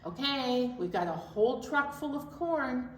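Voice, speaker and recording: A woman presenting a children's storytime in a playful, animated way, moving between chatty talk and sing-along. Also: high voice, a little slow. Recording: very echoey room, distant mic, faint noise.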